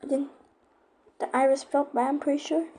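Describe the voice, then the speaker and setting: A female speaker giving a casual, informal explanation, often halting and searching for words. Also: high voice, level tone, warm tone. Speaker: YouTuber explaining horror lore.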